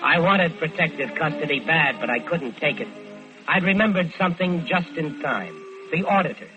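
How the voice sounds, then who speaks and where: An actor playing a smooth-talking American killer who tells his own crime in vintage radio drama style. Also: a little high, animated, normal speed, over music, lightly acted. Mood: tense, confessional. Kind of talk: 1940s-50s radio crime drama acting. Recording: dull lo-fi old radio recording.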